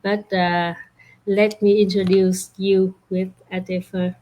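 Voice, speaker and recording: Slow, halting woman co-host. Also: mid-range voice, level tone, dark tone, highs cut off. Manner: slow, hesitant, many fillers. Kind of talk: introducing a guest.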